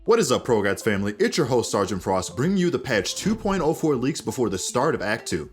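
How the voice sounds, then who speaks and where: Guy reading gaming news like a host, talks really fast, bit of music behind him. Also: medium pitch, very animated. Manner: addresses viewers directly, presenter-like. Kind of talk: upbeat scripted gaming news narration.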